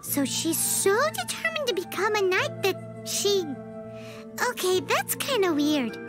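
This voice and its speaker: Very high squeaky little girl companion. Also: sing-song, a little slow, exaggerated voice. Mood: puzzled. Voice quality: squeaky, childlike. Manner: refers to self in third person. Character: small childlike floating girl companion, chirpy, naive, excitable. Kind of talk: video game voice acting. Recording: background music under voice.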